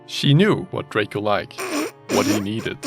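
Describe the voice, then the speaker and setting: Low-voiced man reading fanfiction over music. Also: lively intonation, unhurried. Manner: swears casually, breaks off into banter. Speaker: narrator of a group fanfiction reading. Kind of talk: scripted story reading mixed with joking chat.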